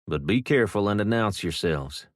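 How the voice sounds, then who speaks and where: A man voicing a protective older man with a gruff, reassuring manner. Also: lowish voice, expressive, moderate pace, dry recording, studio quality, natural voice.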